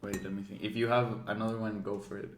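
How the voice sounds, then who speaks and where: A young man with a slightly low voice chats as a podcast guest. His delivery is casual and informal, like relaxed talk among friends. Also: fast, roomy sound, slight background noise. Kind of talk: casual chat.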